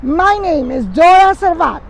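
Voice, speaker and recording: A woman's voice: very high voice, lively intonation, measured pace. An older woman with a Peruvian accent delivering prepared public testimony as a speaker at a press conference. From Peru. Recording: outdoor recording with faint traffic noise.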